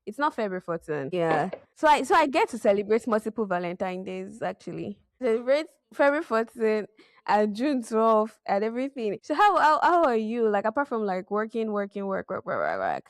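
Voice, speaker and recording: A young woman hosts a relaxed chat with a friend. She is playful and teasing, breaks into laughter, and her voice sits in the middle of the range. The sound is close and dry, with almost no room. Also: mid-range voice, brisk, dry recording. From Nigeria. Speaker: podcast host. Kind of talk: casual chat.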